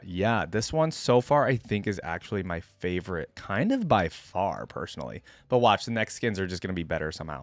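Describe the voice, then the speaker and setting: Man with lively, swooping intonation, casual commentary. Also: lowish voice, no echo. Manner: informal, thinking aloud. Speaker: reaction video host. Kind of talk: casual off-the-cuff commentary on game skins.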